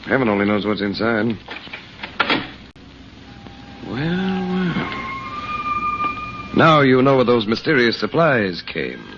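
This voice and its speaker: An actor playing a resolute, grave investigator in an old-time radio jungle adventure. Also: male voice, mid-range voice, sing-song, measured pace. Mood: grim, investigative. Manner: decisive, grave, urgent. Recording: dull, noisy old radio recording.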